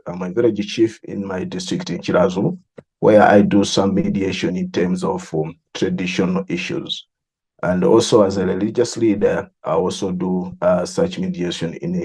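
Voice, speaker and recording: A middle-aged man with a Malawian accent, explaining his work in an interview in a deliberate way, repeating phrases for emphasis. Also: low-pitched, darkish tone, slightly rough. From southern Malawi. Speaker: religious leader and mediator interviewee.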